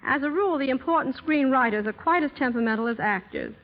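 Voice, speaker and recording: A woman speaking as an interview guest in a scripted, deliberate 1930s radio style, on a muffled old broadcast. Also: high voice, lively intonation.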